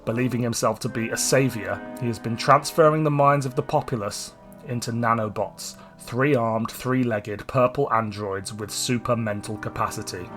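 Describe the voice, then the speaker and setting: A man hosts a podcast in a mid-pitched voice. He narrates from a script and chats casually, with guitar music clearly playing behind him. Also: fairly even intonation, moderate pace, a bit bright. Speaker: podcast host. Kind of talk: scripted plot synopsis and casual podcast chat.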